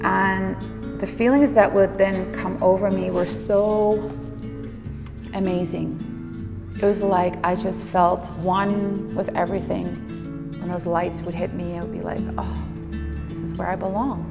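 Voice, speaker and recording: A woman in her forties shares a reflective personal story. Her voice has a noticeable fry and she speaks without hurry, leaning on key words. It rings with long reverb, and music plays clearly underneath. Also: medium pitch, little pitch movement, slow pace. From Netherlands. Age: 40s. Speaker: fitness instructor and speaker.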